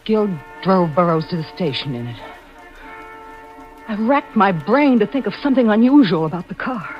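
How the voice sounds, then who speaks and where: A woman's voice: mid-range voice, lively intonation, moderate pace, natural voice. A woman playing an anxious, devoted wife turned amateur sleuth in old-time radio suspense, with breathless, melodramatic urgency. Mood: tense, fearful. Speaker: actress narrating and playing the lead. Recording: muffled vintage radio recording with music.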